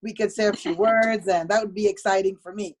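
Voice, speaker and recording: Woman from Haiti, mid-pitched guest on a video call, measured and polite, reading from notes. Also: fairly even intonation, a little mellow. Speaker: meeting guest.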